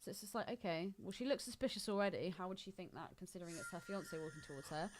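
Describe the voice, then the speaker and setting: A woman's voice: fairly low pitch, racing, noisy background. A woman co-hosting a podcast, casually recapping a film and critiquing it with blunt opinions. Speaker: podcast co-host.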